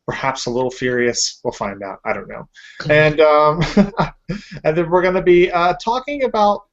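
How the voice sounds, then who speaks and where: Guy hosting a podcast, kinda high voice, dull lo-fi sound, chatty with lots of uh's. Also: moderate pace. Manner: upbeat, chatty, with fillers. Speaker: podcast host. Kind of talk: casual chat. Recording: lo-fi podcast audio.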